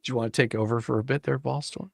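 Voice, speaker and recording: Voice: mid-range voice, steady tone, fast, vocal fry, breathy edge, no room sound, studio quality. A host of an online group reading, bantering casually and directing the other readers.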